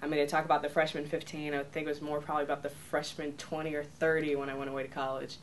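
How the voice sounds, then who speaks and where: A woman's voice: low voice, flat delivery, very fast, a little hiss or hum. Chatty woman in her twenties. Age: 20s. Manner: chatty, earnest, many fillers like "you know" and "like". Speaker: casting applicant. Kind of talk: casting tape self-introduction.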